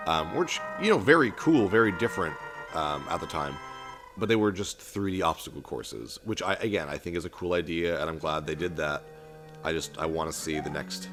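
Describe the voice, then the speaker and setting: A young man talking casually over a retro game he is playing, in an even, monotone way, with many ums and restarts. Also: sits low. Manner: self-described monotone, rambling with filler and restarts. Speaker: let's play host. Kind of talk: casual gameplay commentary. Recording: game music playing behind voice.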